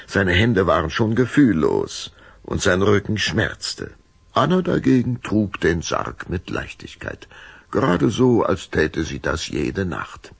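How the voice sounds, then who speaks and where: A man narrating a scripted children's audio drama in a dramatic, expressive storytelling manner. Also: deep voice, creaky, husky, dry recording.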